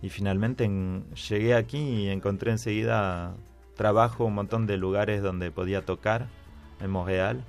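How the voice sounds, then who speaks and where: A man with a very low voice and an Argentine Spanish accent, recalling his past in an easy, conversational way. He stops and repeats words as he searches for them. Faint music plays behind him in a radio studio. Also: steady tone, normal speed, creaky. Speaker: musician guest. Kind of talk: radio interview, personal storytelling.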